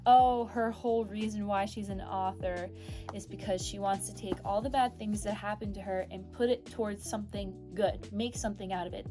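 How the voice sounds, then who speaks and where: An expressive young woman talks through her reading in a loose, rambling way, reacting big and swearing now and then. Her voice sits in an ordinary middle range for a woman. Music plays clearly underneath her. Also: mid-range voice. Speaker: book club vlogger. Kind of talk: casual chat.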